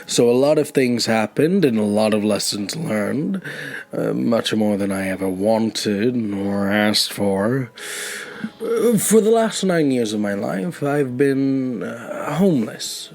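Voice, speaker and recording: An actor playing a lonely elderly man who was once homeless, with a hesitant, gentle, wry way of talking in an audio drama. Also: mid-range voice, animated, takes it slowly, gravelly. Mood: wistful. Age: older. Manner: hesitant, reflective. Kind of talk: naturalistic audio drama dialogue.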